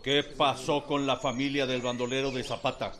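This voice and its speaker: An actor playing a ruthless, barking federal army general in a radio drama, with a Mexican accent. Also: male voice, mid-range voice, level tone, moderate pace, natural voice. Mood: menacing, curt. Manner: barking, commanding. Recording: music and crowd clamour behind voice. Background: dramatic music, crowd noise.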